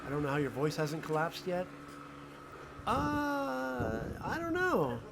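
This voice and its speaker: A man's voice: high-pitched, sing-song, slow, vocal fry, noisy background. A man, a ramen critic, casually reacting to videos on a long livestream with offhand running commentary.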